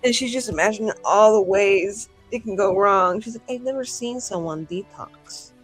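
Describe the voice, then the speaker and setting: A woman's voice: medium pitch, normal speed, breathy edge, soft background music, low-quality recording. A woman playing a tabletop roleplaying game, improvising in casual, slangy, sweary talk with a wry, rambling manner.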